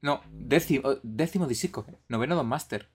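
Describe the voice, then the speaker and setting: A man with a Madrid accent hosts a casual live game stream. His voice sits at a medium pitch, and he comments and asks questions in a bemused, conversational way. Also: brisk. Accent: Madrid Spanish. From Madrid area, Spain. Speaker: podcast host and streamer.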